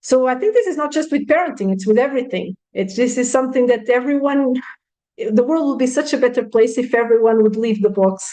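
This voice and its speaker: A woman's voice: a little high, lively intonation, fairly quick, dark tone. A woman in her thirties, speaking frankly and reflectively as an interview guest, with many "you know" fillers. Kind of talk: casual interview conversation.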